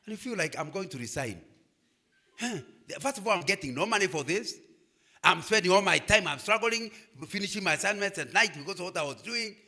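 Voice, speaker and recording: Animated older Kenyan man preaching. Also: high-pitched, brisk. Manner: animated preaching, asks "Am I communicating?". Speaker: guest speaker.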